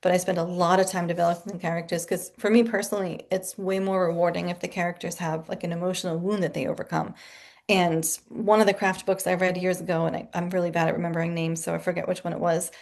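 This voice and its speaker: A chatty woman talks from her home office over a video call, her low voice carrying a gravelly fry. She is animated and laughs often as she describes her work. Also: fast. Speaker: guest author. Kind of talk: casual interview chat.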